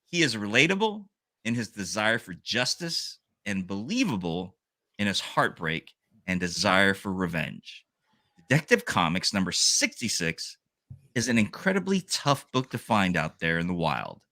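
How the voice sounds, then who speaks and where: A man's voice: fairly low pitch, big pitch swings, normal speed, sharp tone, dry recording. A man co-hosting a comic book podcast, reading a scripted sales rundown and joking with his co-hosts. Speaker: podcast co-host.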